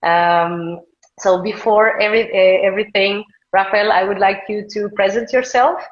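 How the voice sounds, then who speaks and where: A woman from Portugal with a Portuguese accent, hosting a live video conversation in English, pausing often to search for words. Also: mid-range voice, steady tone, highs cut off. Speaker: host, tantra coach. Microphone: video call.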